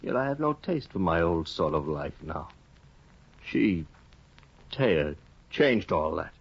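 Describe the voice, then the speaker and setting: A man's voice: low-pitched, sing-song, slow, gravelly, slightly rough, heavily acted. An actor in a 1950s radio play, voicing a despairing, ruined male scholar who broods bitterly and breaks into anguished outbursts. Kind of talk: 1950s radio drama acting. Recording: dull 1950 radio broadcast.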